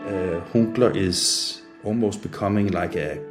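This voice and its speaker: A man with a Danish accent, a native Danish speaker, interviewing a guest remotely, halting with many filler uhs. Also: low-pitched, faint music behind. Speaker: interviewer, trade media editor.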